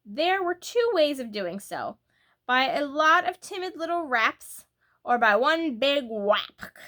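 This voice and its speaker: A woman reading a children's book aloud as a storyteller, in an expressive, animated way that leans on key words. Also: high voice, slow.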